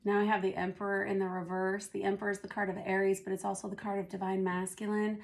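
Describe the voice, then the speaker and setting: A woman with a hoarse, gravelly voice talks through a tarot reading at an unhurried pace, thinking aloud as she goes. Her pitch stays level and flat, with little rise or fall. She sounds like she is losing her voice. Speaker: tarot reader. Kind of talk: casual spoken tarot reading.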